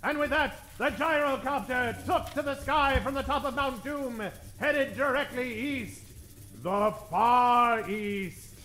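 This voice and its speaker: An actor playing a grand old-time radio announcer, narrating a serial in florid, dramatic style. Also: male voice, very high-pitched, slow, bright, forceful tone, exaggerated voice. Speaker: narrator and host. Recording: roomy stage recording.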